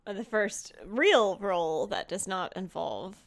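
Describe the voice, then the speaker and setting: A woman's voice: medium pitch, expressive, slow. A woman chatting casually and playfully as a player in a tabletop game.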